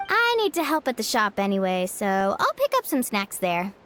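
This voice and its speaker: A woman voicing an eager, excitable young girl adventurer in a video game. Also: on the high side, moderate pace, a little hiss or hum. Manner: bubbly and excitable.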